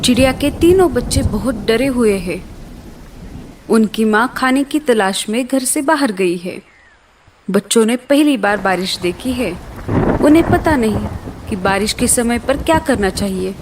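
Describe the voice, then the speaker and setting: A woman's voice: on the high side, animated, a little slow, noisy background. A woman narrating a scripted children's cartoon story.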